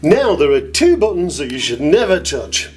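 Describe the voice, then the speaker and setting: Male demonstrator, informal and enthusiastic, very varied pitch, some echo processing on the voice. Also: big pitch swings, moderate pace, a little mellow, faint music behind. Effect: echo and delay at times. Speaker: demonstrator of an audio effects unit. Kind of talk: informal product tutorial.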